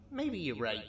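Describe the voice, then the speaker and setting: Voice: medium pitch, a bit bright, highs cut off, a little hiss or hum, heavily acted. A performer voicing a laid-back, joking, protective male skeleton with casual delivery in a comic dub. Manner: casual, drawling puns.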